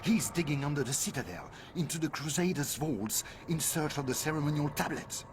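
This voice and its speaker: A man playing an earnest, anxious scholar ally in a game, speaking gravely and urgently. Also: a little high, animated, a little fast, natural voice. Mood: urgent, foreboding. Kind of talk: dramatic game cutscene acting. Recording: clear ambient noise behind the voice.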